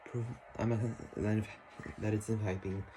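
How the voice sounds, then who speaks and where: A man hosting a casual hands-on how-to video indoors, explaining in a halting, informal way. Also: lowish voice, little pitch movement. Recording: noisy room with clicks and handling sounds.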